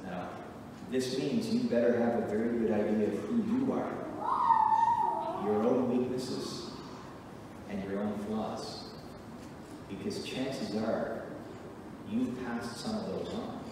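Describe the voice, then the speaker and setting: A middle-aged man preaching a sermon from a church pulpit, earnest and emphatic with measured pauses. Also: medium pitch, wildly swooping pitch, heavy background noise. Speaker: pastor. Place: church sanctuary, at a wooden pulpit. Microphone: pulpit mic, recorded from a far camera. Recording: echoey church hall from far off.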